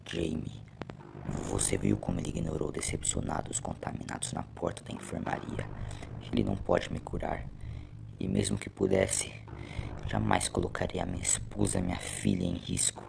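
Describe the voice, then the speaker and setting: A man voicing a wounded, self-sacrificing husband and father in an audio drama, grave, strained and halting. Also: deep voice, big pitch swings, vocal fry, background noise, full character voice. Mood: resigned, resolute.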